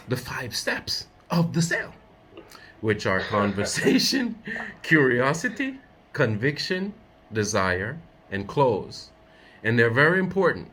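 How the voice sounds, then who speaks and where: Male sales coach, emphatic, bright and forceful delivery. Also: mid-range voice, a little hiss or hum. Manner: emphatic, drilling points. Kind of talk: coaching role-play.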